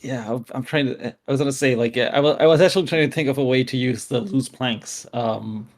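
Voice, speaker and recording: A man with an average-pitched voice plays a tabletop roleplaying game. He talks casually, hesitating as he works out his choices aloud. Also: fairly quick.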